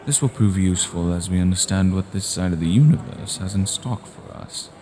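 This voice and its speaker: Man acting very deep, commanding vampire leader. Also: warm tone, close to an everyday voice. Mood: measured, curious. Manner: deliberate, formal, grave. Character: commanding male vampire clan leader, measured, scheming, cold. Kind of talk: acted fantasy audio drama.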